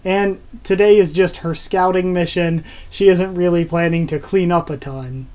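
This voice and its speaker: A man's voice: high-pitched, fairly even intonation, moderate pace, a little mellow. Young man, stuffed-up nasal voice, enthusiastic, rambling, casual webcam talk, small room. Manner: enthusiastic, rambling with many fillers. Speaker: manga reviewer. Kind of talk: casual video review. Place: small room at home, indoors.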